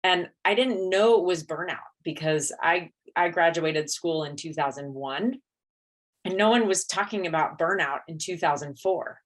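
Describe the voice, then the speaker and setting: A woman's voice: on the low side, moderate pace. A middle-aged woman who is a dentist, giving a candid, reflective talk at a conference. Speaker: dentist and speaker.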